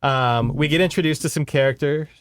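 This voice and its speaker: A man's voice: fairly high pitch, fairly quick, smooth, dry recording, studio quality. A man co-hosting a podcast, retelling a book in a casual, informal, chatty way. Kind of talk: casual conversational book recap.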